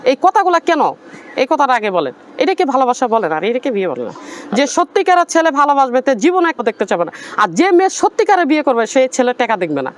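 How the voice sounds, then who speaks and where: A woman's voice: high voice, sing-song, rapid-fire, a little hiss or hum. A woman with a Bangladeshi accent making a personal appeal in a pleading, insistent manner. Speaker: interviewee telling own life story.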